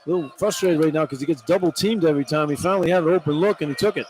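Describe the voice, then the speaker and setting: A man's voice: on the high side, very fast. Informal male color commentator over noisy arena. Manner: informal, opinionated, colloquial. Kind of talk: live basketball analysis. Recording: noisy live arena broadcast. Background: arena crowd ambience.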